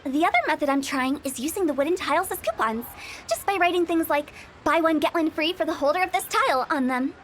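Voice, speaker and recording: Cheeky young funeral director girl, very high voice, playful and a bit spooky, faint hiss behind. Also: quick pace, a bit bright. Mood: scheming. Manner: playful, teasing, with sudden spooky asides. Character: mischievous young female funeral parlor director. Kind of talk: video game voice acting.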